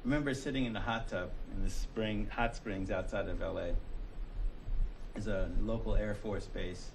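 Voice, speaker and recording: A man's voice: lowish voice, fairly even intonation, moderate pace, a little hiss or hum, poor audio. An American man giving a college lecture in a classroom. He talks conversationally, keeps asking "right?", and acts out people's inner voices. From United States. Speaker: college instructor.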